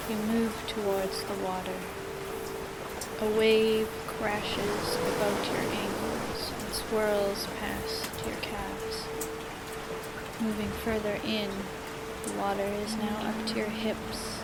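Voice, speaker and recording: A woman narrating a scripted guided fantasy meditation in a measured, soothing way, with long pauses. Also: medium pitch, little pitch movement, heavy background noise. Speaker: podcast host and meditation narrator. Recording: loud music bed under the voice. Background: loud background music.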